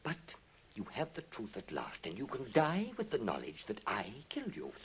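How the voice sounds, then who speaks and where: An actor playing a vindictive Victorian poisoner with an English accent, sneering and taunting in a 1950s radio drama manner. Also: male voice, fairly low pitch, huge pitch swings, moderate pace, slightly rough, full character voice. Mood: gloating. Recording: hissy 1950s radio recording.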